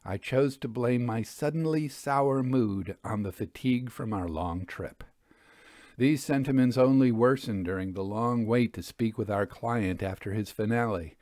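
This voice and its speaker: A man reading a period mystery story as scripted narration, in a measured, literary and expressive manner. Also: mid-range voice, no echo, studio quality.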